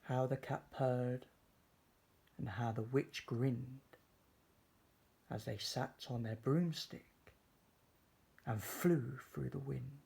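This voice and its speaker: A man reading a children's story aloud in a measured, expressive, dramatic way. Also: mid-range voice, vocal fry, slightly rough. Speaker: storybook reader.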